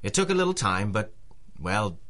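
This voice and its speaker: A big, simple working man with a low, firm, forceful voice. He speaks plainly and courteously, halting and bashful, in an earnest old-time radio drama style. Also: sing-song. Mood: bashful, tongue-tied.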